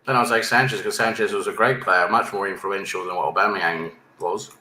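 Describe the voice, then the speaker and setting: A man's voice: deep voice, fairly even intonation, brisk, pushed and bright, slight background noise. English guy chatting on a panel, tag questions at the end of everything, echoey room. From England. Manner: ends points with tag questions. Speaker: panel guest. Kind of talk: casual chat. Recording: echoey room with faint ambience.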